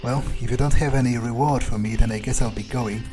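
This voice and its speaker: A man's voice: medium pitch, fairly even intonation, quick pace, music in the background. A man doing in-character game playthrough commentary, thinking aloud hesitantly and swearing bluntly. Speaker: gaming video creator.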